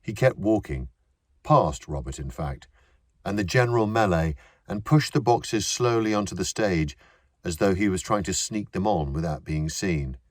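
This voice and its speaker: A man reading a novel aloud as narrator in a measured, dryly wry manner. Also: sits low, lively intonation, dry recording, clean studio sound. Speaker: audiobook narrator. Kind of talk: scripted audiobook narration.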